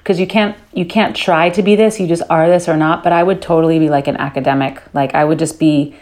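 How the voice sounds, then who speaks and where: Woman, interview guest, slightly low voice, chatty, restarts mid-thought. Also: steady tone, fast talker, a little mellow. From born in London, raised in New York City. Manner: chatty, thinks aloud with restarts. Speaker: guest singer. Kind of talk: casual interview chat.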